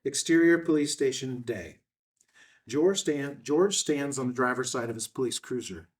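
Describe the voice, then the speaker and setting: A man's voice: mid-range voice, normal speed, far from the mic. A man reading stage directions as narrator at a screenplay table read.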